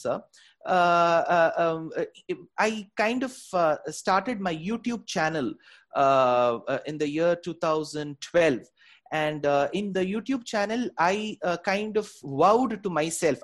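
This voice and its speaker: A woman's voice: on the low side, expressive, measured pace. A woman with a South Indian accent, a native Tamil speaker, giving earnest, respectful explanations as a lecturer being interviewed. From Bangalore, India. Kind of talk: interview answers, explanatory talk.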